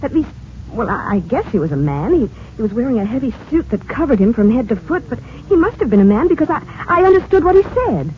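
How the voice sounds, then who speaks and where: Anxious wife in an old radio drama, emotional swoopy voice, really tinny recording. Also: female voice, on the high side, very animated, quick pace, a little hiss or hum. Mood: dazed, wondering. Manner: hesitant, breathless repetitions, emotional. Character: anxious, insistent wife of a missing scientist. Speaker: actress playing a missing scientist's wife.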